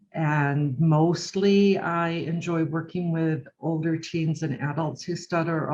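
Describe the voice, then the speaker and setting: A woman's voice: deep voice, a little mellow. Older woman speech therapist, dull audio. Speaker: speech-language pathologist. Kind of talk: conference presentation. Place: video call.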